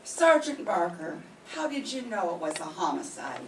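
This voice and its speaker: A woman's voice: fairly low pitch, big pitch swings, normal speed. A woman playing an inquisitive dinner guest in a 1940s-style radio mystery. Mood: curious. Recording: echoey room from far off, noise.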